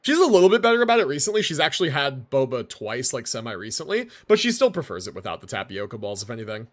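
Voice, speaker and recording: A man's voice: medium pitch, very animated, quick pace. A young man streaming games, chatting casually with slangy, profane phrasing. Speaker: game streamer. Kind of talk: casual livestream chat.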